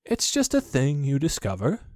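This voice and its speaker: A man's voice: fairly high pitch, wildly swooping pitch, moderate pace, a little mellow, no echo, clean studio sound, pitched above the performer's own voice. A man voicing a confident young boy in a storybook, explaining things with careless, breezy authority. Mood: breezy, assured. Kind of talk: storybook character voice.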